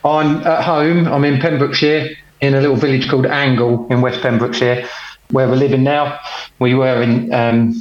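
A middle-aged man, talking as a podcast guest from his home over a call, unhurried, plain-spoken and self-deprecating. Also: mid-range voice, flat delivery. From England. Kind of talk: casual conversation, telling his life story. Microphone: remote call. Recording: roomy, dull remote call audio.

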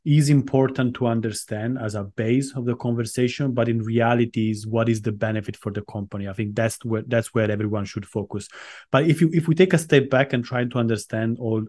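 Guy who grew up in italy, podcast guest, rambly casual answers, keeps restarting his sentences, middle pitch. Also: steady tone, a little fast, a little mellow. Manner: loose and conversational, restarting phrases and repeating words as he thinks. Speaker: podcast guest, branding strategist.

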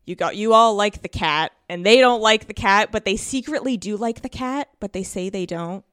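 A woman's voice: medium pitch, normal speed, a bit bright, clean tone, no room sound, clean studio sound. A woman guesting on a podcast, chatting casually and acting out little quotes in her anecdotes. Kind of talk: casual chat with personal anecdotes.

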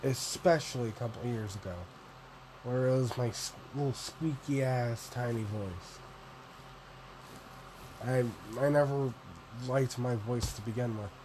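A young man with a deep voice, rambling through a solo vlog, self-deprecating and swearing casually. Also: measured pace, long pauses, background noise. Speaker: podcaster and vlogger.

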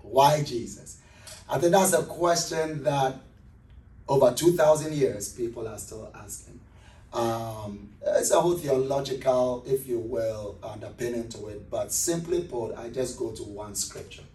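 A man's voice: mid-range voice, a little slow, dark tone. A middle-aged man with a Ghanaian accent, talking conversationally as a pastor being interviewed. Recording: roomy echo, distant microphone.

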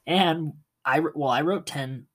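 A man's voice: fairly high pitch, unhurried. A young man co-hosting a casual podcast, stuffy-nosed from allergies, laughing and teasing often. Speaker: podcast co-host.

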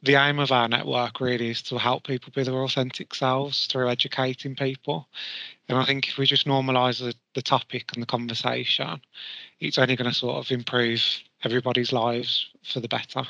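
Man, gravelly, sharp tone, earnest, dry dull lo-fi recording. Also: medium pitch, level tone, brisk, no room sound. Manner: earnest, with many fillers. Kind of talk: panel discussion.

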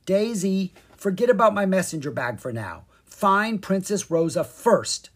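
An eager young boy from a children's storybook, played by the storyteller. He is cheerful and trusting, with a raised, husky-edged pitch. He speaks with excitable emphasis. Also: measured pace. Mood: urgent.

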